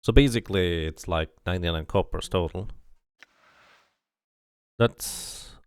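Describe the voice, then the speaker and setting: A man's voice: deep voice, unhurried, clear, resonant tone, no echo, studio quality. A man giving casual game commentary, thinking aloud as he plays and reading bits of game text out. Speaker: streamer playing and narrating a game.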